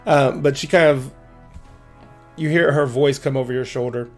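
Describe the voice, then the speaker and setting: A man's voice: mid-range voice, steady tone, a little slow, soft background music. A man narrating a tabletop game as game master, casual and hesitant with many fillers.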